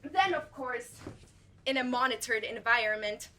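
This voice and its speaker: A smooth-talking, pompous casting agent, played by a teenage girl on a school stage. Her voice sits high, with faint noise in the background. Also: normal speed, bright, forceful tone. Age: teens. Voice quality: pompous, salesy. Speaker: student actor. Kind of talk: school stage play acting.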